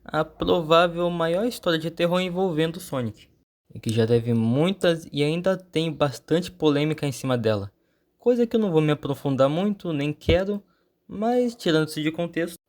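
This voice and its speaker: A man's voice: on the high side, a little mellow. A man with a Brazilian accent telling a story as a channel host, in an informal scripted narration.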